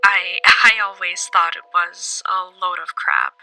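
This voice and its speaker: Skeptical female college student, very sharp bright tone, hesitant confiding testimony, faint music behind. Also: fairly low pitch, little pitch movement, slow pace, exaggerated voice, rough recording. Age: young adult. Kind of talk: audio drama testimony.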